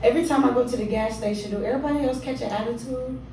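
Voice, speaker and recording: A woman's voice: a little high, very fast, warm tone. A woman performing spoken word poetry with a rhythmic, emphatic delivery and loose, conversational asides between pieces, at a small living-room poetry venue. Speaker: featured poet. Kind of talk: spoken word poetry with casual stage banter. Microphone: room recording from a distance. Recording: very echoey room, distant mic, faint hum.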